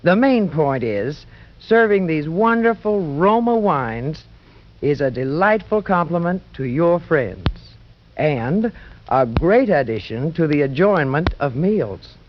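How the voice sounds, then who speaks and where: Slow, low-voiced genteel radio hostess. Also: female voice, animated, a little hiss or hum. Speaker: celebrity hostess giving sponsor advice. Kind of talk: 1940s radio testimonial. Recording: dull 1940s radio recording.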